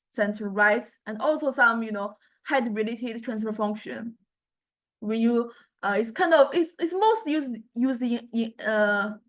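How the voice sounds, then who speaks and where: A woman giving an informal lecture in a hesitant, halting way, often repeating and restarting her words. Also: fairly high pitch.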